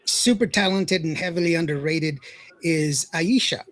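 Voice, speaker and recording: A man's voice: a little high, moderate pace. A man hosting a radio podcast, chatting with a guest and reading out listener comments. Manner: reads listener comments, warm shout-outs. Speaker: podcast host and DJ. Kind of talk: casual interview chat.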